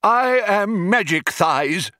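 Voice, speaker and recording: A man's voice: high voice, expressive, slow pace, bright, forceful tone, dry recording, studio quality, voice pushed up, exaggerated voice. An older union man barging into a meeting in a comic audiobook, raised voice, belligerent and pedantic, acted out by a male reader. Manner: belligerent, indignant, working-class shop-steward talk.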